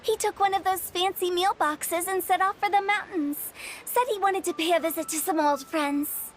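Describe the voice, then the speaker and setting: A woman's voice: very high-pitched, steady tone, normal speed, slight background noise. A woman playing a mischievous, theatrical young funeral director in a video game, with a showy, teasing delivery. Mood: breezy. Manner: showy, teasing, sing-song flourishes.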